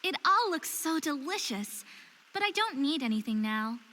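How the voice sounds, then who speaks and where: A woman's voice: high voice, big pitch swings, moderate pace, pushed and bright, long reverb tail, heavily acted. A woman playing an earnest, stubborn and curious young woman of faerie descent in a visual novel game. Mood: musing. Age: young adult. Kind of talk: visual novel voice acting with inner monologue.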